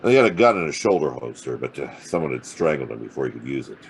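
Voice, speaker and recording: A very deep, clipped American private eye, sardonic and hard-boiled, with a swooping delivery. It is noir audio drama, with noisy ambience behind the voice. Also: male voice, sing-song, quick pace, vocal fry, full character voice. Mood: brooding. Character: hard-boiled, wisecracking male private detective, narrating his case.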